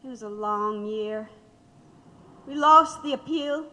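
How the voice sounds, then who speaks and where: An actress plays a condemned man's wife looking back in an audio drama. Her voice sits somewhat high, measured and full of regret and bitterness. A hollow reverb trails her words. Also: pushed and bright. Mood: bitter, reflective. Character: grieving wife of a condemned man, bitter and reflective.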